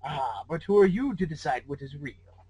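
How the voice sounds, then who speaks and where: A man's voice: on the high side, lively intonation, normal speed, darkish tone, breathy edge, dry recording, pitched below the performer's own voice. A man voicing an eccentric, smug old man with a theatrical, rambling manner in a story read aloud. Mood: smug, musing. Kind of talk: fan fiction read aloud in character.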